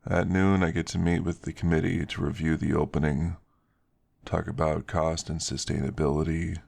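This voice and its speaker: A man's voice: extremely low pitch, little pitch movement, normal speed, creaky, a little mellow, voice pushed down, exaggerated voice. A man voicing an earnest male werewolf in an ASMR story. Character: earnest male werewolf explaining his resort's work. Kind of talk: ASMR story character dialogue.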